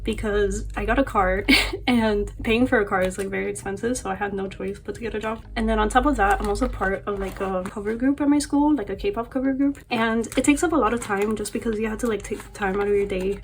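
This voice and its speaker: A woman's voice: mid-range voice, brisk. A young woman vlogging casually about her collection and life, rambling with lots of fillers, her voice a little off from allergies. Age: young adult. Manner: chatty, rambling, many fillers and laughs. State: voice a bit off from allergies. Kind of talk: casual chat to camera.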